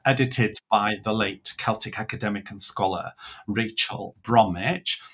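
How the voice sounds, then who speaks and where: A man from Wales, a native Welsh speaker, giving an online lecture and slowing down to stress key words. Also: mid-range voice, a bit bright. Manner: measured, slows to stress words. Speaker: author and speaker.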